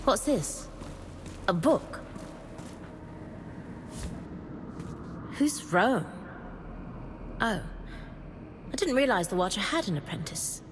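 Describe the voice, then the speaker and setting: Slow, contemplative woman scholar in a reverberant hall. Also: medium pitch, lively intonation, background noise. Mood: curious, thoughtful. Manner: measured, contemplative, reading aloud with gravity. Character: earnest, curious woman historian and outsider studying ancient inscriptions. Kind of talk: video game character dialogue. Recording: long hall reverb, music behind.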